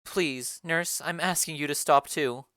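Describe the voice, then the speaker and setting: A woman voicing a stern, formal noble mother in a dramatic reading of a play, speaking in a lowered, measured voice. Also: fairly bright tone, no room sound, clean studio sound.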